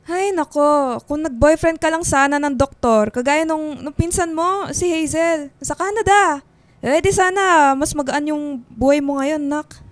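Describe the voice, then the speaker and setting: A woman's voice: sits high, a little slow, no echo. A woman playing a nagging, demanding middle-aged Filipina mother in a radio drama, scolding with exasperated sighs. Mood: reproachful.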